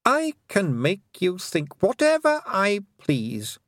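A man's voice: high-pitched, wildly swooping pitch, slow, fairly bright tone, no room sound, clean studio sound, voice pushed up, heavily acted. A man voicing a cruel, scheming fairy queen who speaks in a cold, menacing way.

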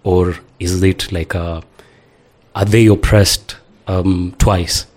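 Deep-voiced male interviewer. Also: slow pace. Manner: affirming, calm questioner with many backchannels. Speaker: host. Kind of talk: podcast interview.

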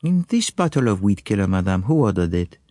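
A man's voice: fairly low pitch, big pitch swings, fairly quick, warm tone, no room sound, studio quality. A man reading an audiobook, voicing a fussy, courteous foreign male detective who speaks precisely and drops French phrases. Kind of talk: audiobook character voice.

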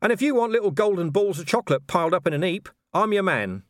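Male narrator doing a blunt, sardonic veteran cop; dry wit, lively delivery, close dry sound. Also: sits high, fast talker, no room sound, pitched above the performer's own voice. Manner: dry, mock-innocent sarcasm. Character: weary, blunt, sardonic police commander man.

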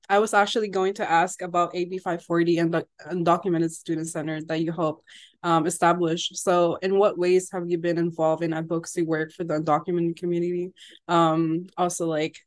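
A woman interviewer asking questions in a polite, measured way. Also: lowish voice, little pitch movement, no echo.

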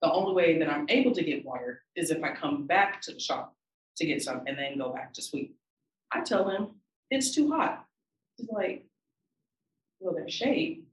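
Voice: medium pitch, very animated, normal speed, recorded from a distance. Young panelist, wry and exasperated anecdote, sounds off-mic in a roomy space. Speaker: student panelist. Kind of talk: casual storytelling.

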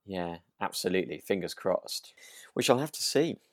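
A man co-hosting a podcast, chatting casually and feeling his way through thoughts with halting, repeated phrases. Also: low-pitched, animated, a bit bright, dry recording, studio quality. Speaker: podcast co-host. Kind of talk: relaxed casual chat.

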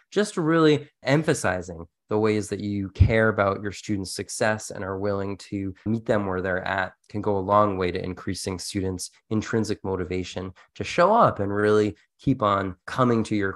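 A man with a fairly low voice speaks as a podcast co-host. He explains ideas in an earnest, encouraging and conversational manner, like an educator sharing advice with colleagues. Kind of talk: conversational teaching-tips discussion.

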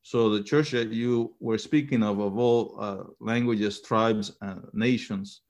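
A man in his fifties, a seminary professor raised in Chile and Panama, who speaks English. He gives an earnest reflection to a church gathering and pauses often on filler sounds. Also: on the low side, steady tone, darkish tone. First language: Spanish.

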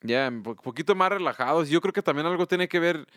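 A Mexican-American man with a slightly high voice hosts a casual podcast. He swears freely and flips between English and Spanish mid-sentence. The recording is dry and close. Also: expressive, brisk, a bit bright, dry recording. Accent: Mexican-American, mixing English and Spanish. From United States. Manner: loose and profane, switching languages mid-sentence. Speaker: podcast host.

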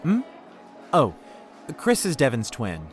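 A man's voice: mid-range voice, wildly swooping pitch, takes it slowly, soft background music. A man playing an easygoing, loyal young peacemaker in an audio drama, hesitant and conciliatory in manner. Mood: weary, conciliatory.

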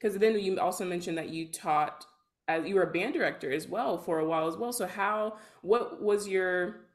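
A young woman with a distinctly low-pitched voice co-hosts an interview. She talks casually and conversationally as she puts her questions to a guest. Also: normal speed. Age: young adult. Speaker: podcast co-host, student.

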